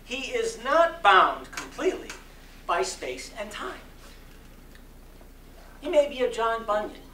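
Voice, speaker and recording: Slow, echoing man giving a dramatic sermon reading. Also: sits high, sharp tone. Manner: solemn, emphatic, deliberate dramatic phrasing with long pauses. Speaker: reader performing a sermon. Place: community center hall before an audience. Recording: roomy echo, distant mic, faint noise.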